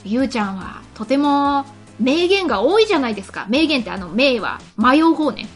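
A woman's voice: sits high, big pitch swings, moderate pace, soft background music. A woman hosting a casual internet radio show, switching between chat and scripted announcements.

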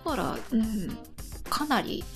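A woman's voice: a little high, lively intonation, unhurried, faint music behind. A woman hosting a casual radio chat, often laughing as she talks. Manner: giggly, often laughing while talking. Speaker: radio show host.

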